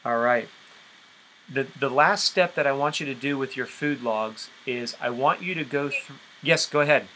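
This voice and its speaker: A man with a bright, forceful voice leads a group conference call as a fitness coach. He explains things step by step and often checks that listeners follow. There is faint noise on the recording. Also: mid-range voice, moderate pace, poor audio. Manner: explanatory, checks understanding with frequent okay. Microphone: conference call line.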